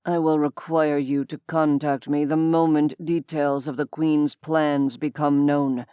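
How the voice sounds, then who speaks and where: A woman's voice: sits low, little pitch movement, a little slow, mellow, clean tone, no room sound, clean studio sound, voice pushed down. A woman voicing a cold, aloof male demon who speaks in a formal, detached way.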